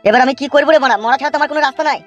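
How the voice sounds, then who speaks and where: A man's voice: extremely high pitch, racing, a bit bright, muffled recording. A man voicing a jilted young village rogue in a cartoon, whiny and coarse. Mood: self-pitying. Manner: complaining, coarse. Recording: faint music behind the voice.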